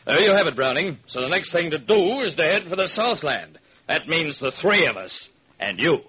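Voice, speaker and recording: An actor playing an exasperated heir who must speak only in emphatic, theatrical rhyme, in an old radio drama. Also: male voice, on the high side, sing-song, moderate pace, pushed and bright, husky, full character voice. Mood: urgent. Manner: theatrical, emphatic rhyming delivery. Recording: noisy vintage radio recording.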